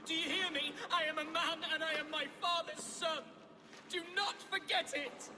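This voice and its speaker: A man's voice: very high voice, steady tone, normal speed, bright, forceful tone, exaggerated voice. An actor playing a proud, boyish young nobleman in a video game, impassioned and openly emotional. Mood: wounded defiance. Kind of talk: dramatic video game acting. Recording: long hall-like reverb.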